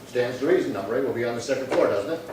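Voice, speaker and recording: A man playing a terse, deadpan police detective sergeant in a 1950s-style radio crime drama, clipped and businesslike. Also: mid-range voice, rapid-fire, close to an everyday voice. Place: live theatre performance space. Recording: very echoey hall, distant, faint noise.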